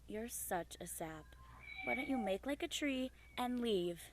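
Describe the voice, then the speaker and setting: A woman's voice: on the high side, animated, unhurried, very noisy. A young woman playing a teasing, hot-headed, territorial leaf with a blunt manner in a student radio play. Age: young adult. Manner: blunt, confrontational. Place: small improvised home recording space.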